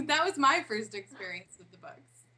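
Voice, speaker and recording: A woman in her forties, a guest on an interview, telling a lively story and laughing at herself. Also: sits high, normal speed, a little hiss or hum. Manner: animated storyteller, laughs through her own anecdotes. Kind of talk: casual interview chat.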